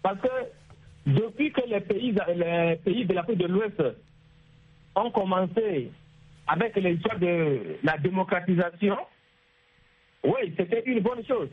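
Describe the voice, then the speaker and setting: A man with a Chadian accent calling in to a radio show, arguing his political opinion haltingly, repeating words. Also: high-pitched, lively intonation, breathy edge. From Chad. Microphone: phone. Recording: muffled, lo-fi phone line.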